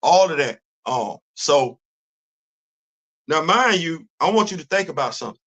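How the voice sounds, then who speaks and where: A man in his fifties holds forth on a casual livestream, animated and preachy, circling back over his words with restarts and repetitions. His voice sits a bit high for a man, and he speaks into a phone. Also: measured pace. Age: 50s. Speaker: host of a commentary livestream.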